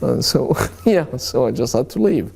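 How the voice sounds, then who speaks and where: A man's voice: mid-range voice, very animated, a little slow, gravelly, a little mellow, slightly rough. A middle-aged man being interviewed, telling his experiences in a hesitant, reflective way with many fillers and restarts. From born in Aleppo, Syria. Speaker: journalist interviewee.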